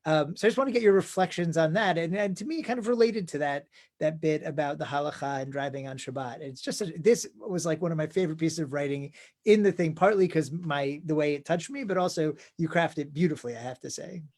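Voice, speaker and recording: A man's voice: fairly high pitch, fast, darkish tone. A man in his forties, a rabbi interviewing a colleague, talking thoughtfully and often restarting his sentences. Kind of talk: conversational interview.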